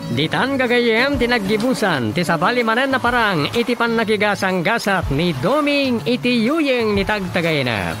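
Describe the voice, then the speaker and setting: Brief: Ilocano male announcer, high and animated, over music. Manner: brisk announcing. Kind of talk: scripted radio program outro.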